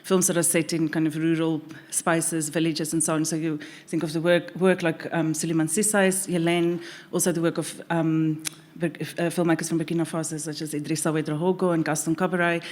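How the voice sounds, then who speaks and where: A woman with a notably low voice speaks as a panelist. She explains in a measured, academic way and often repeats words as she gathers her thoughts. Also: flat delivery, a little mellow. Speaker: panelist, film scholar. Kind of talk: panel discussion.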